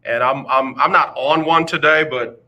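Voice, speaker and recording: A man's voice: medium pitch, steady tone, moderate pace, sharp tone, low-quality recording. A man hosting a casual sports talk radio show, teasing listeners with rhetorical questions. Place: radio studio.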